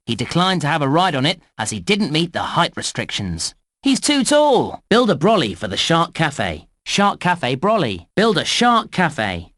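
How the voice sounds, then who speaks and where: A man playing an excitable theme park announcer in a children's game, with theatrical, carefully enunciated scripted delivery. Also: on the high side, no echo, studio quality, heavily acted. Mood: excited, theatrical, then instructive, cheerful. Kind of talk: scripted game voice-over, news flashes and menu prompts.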